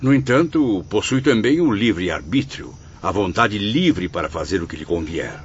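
A man's voice: on the low side, expressive, moderate pace, slightly rough. An actor playing a sincere, emotional spirit of a Portuguese man in a radio drama, with formal, theatrical diction. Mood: earnest. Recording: dull radio recording with music behind.

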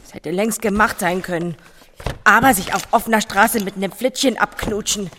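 A woman's voice: mid-range voice, moderate pace, sharp tone, big-room sound, slight background noise, natural voice. An actress playing a spiteful, whiny young woman, the boss's daughter, in a radio drama. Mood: spiteful, disgusted. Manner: petulant. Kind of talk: German radio drama acting.

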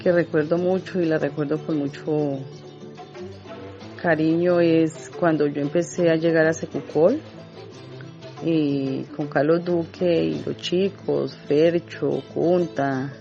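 A woman's voice: low-pitched, steady tone, takes it slowly, creaky, warm tone. A woman fondly recalls an old memory in a rambling, casual storytelling manner. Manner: fond, rambling recollection. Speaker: community radio participant. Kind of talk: reminiscing storytelling. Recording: dull lo-fi recording with guitar under.

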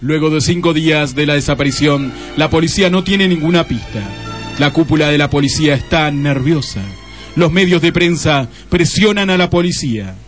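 An actor playing a grave, melodramatic narrator with an Argentine accent in a parody radio drama. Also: male voice, a little high, moderate pace, faint music behind, lightly acted. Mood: mock-solemn. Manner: mock-solemn, portentous.

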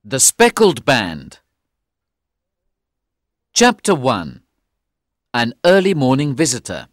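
An actor playing a loyal gentleman who narrates a detective story in a measured, deliberate way. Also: mid-range voice, huge pitch swings, no room sound, studio quality. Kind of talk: slow, clear scripted story narration.

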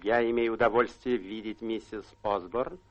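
A courteous gentleman lawyer in a radio drama, played by a male actor. His voice is on the low side, with measured, solemn phrasing and formal deference. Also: steady tone, lo-fi sound, a little hiss or hum, lightly acted. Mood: formal courtesy. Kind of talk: Russian radio drama stage acting.

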